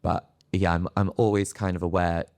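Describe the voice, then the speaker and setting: A man with a very low, gravelly voice speaks on a panel. His pitch stays fairly flat and the sound is dry and close. He talks reflectively, feeling his way through each thought. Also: normal speed, no room sound. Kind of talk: unscripted panel discussion.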